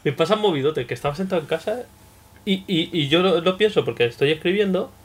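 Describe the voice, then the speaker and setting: A man's voice: on the high side, expressive, fast, husky. A Catalan-speaking man from Mallorca, co-hosting a casual chat, telling rambling stories with frequent laughs. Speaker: podcast co-host.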